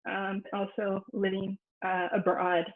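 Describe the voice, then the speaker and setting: A woman researcher whose first language is Spanish, giving a personal academic presentation with many pauses and fillers. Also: medium pitch, monotone. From United States. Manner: thoughtful, frequent fillers and restarts. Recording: echoey room over a phone-like line.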